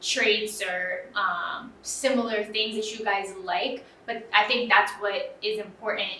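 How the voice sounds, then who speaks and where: Bright-voiced young woman, roomy off-mic sound. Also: mid-range voice, steady tone, takes it slowly, far from the mic, a little hiss or hum. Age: young adult. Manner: earnest, filler-heavy with frequent "um" and "you know". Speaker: interview guest, student. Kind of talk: casual interview talk. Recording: roomy, off-mic with faint ambience.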